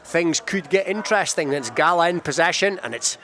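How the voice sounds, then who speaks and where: A Scottish man giving live radio rugby commentary in measured phrases with informal remarks, from an outdoor ground. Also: a little high, animated, a bit bright, slight background noise.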